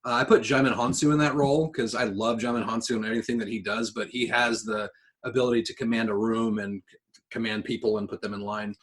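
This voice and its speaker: A man's voice: medium pitch, steady tone, fast. A man co-hosting a casual podcast, talking enthusiastically and swearing freely. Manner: profane, enthusiastic. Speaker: podcast co-host.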